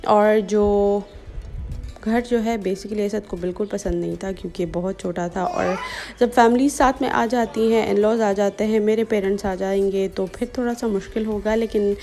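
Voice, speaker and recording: A woman's voice: mid-range voice, fairly even intonation, moderate pace, warm tone, soft background music. A young woman, narrating a home vlog in a casual, chatty style. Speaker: vlogger.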